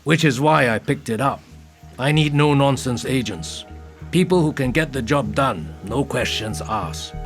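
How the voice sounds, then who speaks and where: A blunt, jaded senior male detective in an audio drama. He is unkempt and gruff, speaks tersely, and his pitch moves around a lot. Music runs behind him. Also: expressive, measured pace. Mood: terse, probing.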